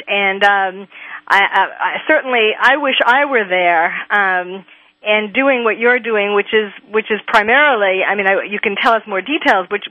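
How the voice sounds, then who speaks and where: A woman hosting a radio interview, asking halting, hesitant questions. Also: mid-range voice, no room sound. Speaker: radio host. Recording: dry, phone-like radio line.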